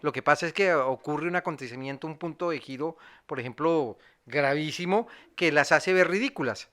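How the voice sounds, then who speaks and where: A man's voice: a little high, normal speed, fairly bright tone. A man giving a reflective, discursive analysis as a theatre commentator, often checking for agreement.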